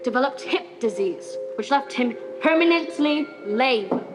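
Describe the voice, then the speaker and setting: A woman acting as a grave Victorian doctor with a high voice. The delivery is a measured, declamatory lecture in stage-drama style. Music plays clearly behind. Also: expressive. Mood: appalled, pitying. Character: grave Victorian doctor presenting a deformed patient. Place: high school theater stage.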